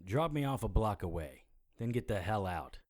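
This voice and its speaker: An actor playing a gruff, laconic hardboiled private eye in a noir audio drama, terse and world-weary. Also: male voice, lowish voice, sing-song, normal speed, background noise. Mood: gruff, firm.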